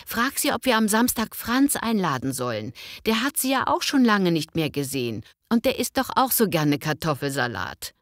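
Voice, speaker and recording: A woman's voice: fairly low pitch, big pitch swings, moderate pace, hardly any pauses, no echo. A woman acts out a fussy, worrying mother in a language-learning dialogue. Her delivery swings animatedly as she insists and nags, recorded close and dry. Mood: eager, excited. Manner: insistent, nagging. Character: fussy, excited, worrying mother expecting her grown daughter.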